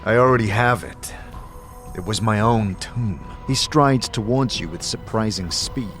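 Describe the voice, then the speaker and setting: A man giving grave, expressive dramatic narration of a fantasy story. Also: fairly low pitch, a little slow. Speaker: narrator, also voicing the player character. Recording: music behind the voice.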